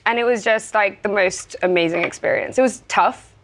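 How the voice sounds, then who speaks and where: Lively young actress, bubbly interview chat. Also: female voice, mid-range voice, normal speed, slight background noise. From South Africa. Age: young adult. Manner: animated, bubbly, laughs often. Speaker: actress guest.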